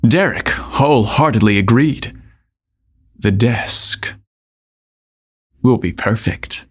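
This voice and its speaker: Slow-paced male story narrator. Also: mid-range voice, slightly rough. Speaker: podcast narrator. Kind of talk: scripted story narration, audiobook-like.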